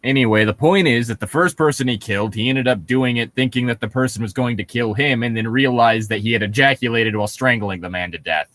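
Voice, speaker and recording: A man's voice: mid-range voice, a little hiss or hum. A man co-hosting a comedy podcast, casually reading aloud and riffing with incredulous asides. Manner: animated, quick to laugh, mock-incredulous asides. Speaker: podcast co-host.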